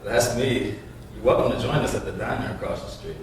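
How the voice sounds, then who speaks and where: A man's voice: medium pitch, a little fast, lightly acted. A man reading the part of a friendly, encouraging support group leader at a script table read. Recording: echoey room, distant mic, faint noise.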